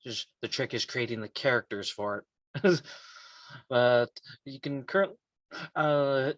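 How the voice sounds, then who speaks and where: Man, medium pitch, halting delivery, dry sound, casual introduction. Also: lively intonation, dry recording. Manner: hesitant, halting. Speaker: aspiring voice actor introducing himself.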